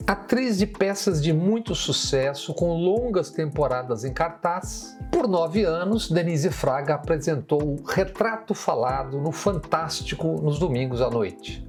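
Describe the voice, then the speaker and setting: A man hosting an interview, giving formal, measured introductions and asking questions. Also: fairly high pitch, animated, soft background music. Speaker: interview host.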